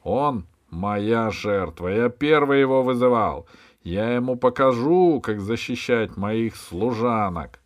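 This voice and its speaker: Man acting a loud, savage villain knight. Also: medium pitch, expressive, slow, voice pushed up. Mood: angry, possessive. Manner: aggressive shouting.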